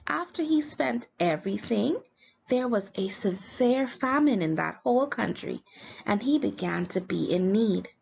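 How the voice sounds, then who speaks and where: A woman reads a story aloud for a class in a voice with an audible creak. She is expressive, like a teacher leading a read-along. The recording is dull, with faint noise behind her. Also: medium pitch. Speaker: teacher reading aloud to students.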